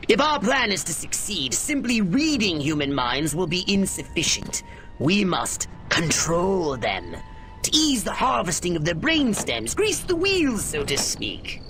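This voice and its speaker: Bossy alien mastermind, commanding and clipped, swooping pitch, faint hiss behind. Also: male voice, big pitch swings, measured pace, a bit bright, full character voice. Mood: scheming. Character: scheming alien commander, male, older, brainy and bossy. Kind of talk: video game cutscene acting.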